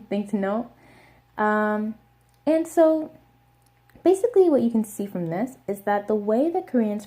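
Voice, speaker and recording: American woman, young adult, warm mellow tone, casual lesson, careful repetition. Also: medium pitch. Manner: explains step by step, repeats words slowly. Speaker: language teacher.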